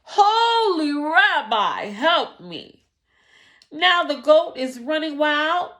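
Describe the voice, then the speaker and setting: A woman voicing a desperate, overwhelmed poor man in a storybook, pleading in drawn-out wails. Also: high voice, sing-song, pushed and bright, pitched above the performer's own voice, heavily acted. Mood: frantic, desperate. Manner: shrieking, wailing, drawn-out pleas. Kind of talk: dramatic storybook read-aloud.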